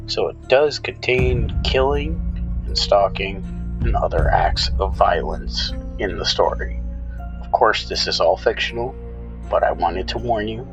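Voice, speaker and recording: A man hosting and narrating a scripted horror story with measured, deliberate phrasing. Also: low-pitched, dull audio. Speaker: horror show host and narrator.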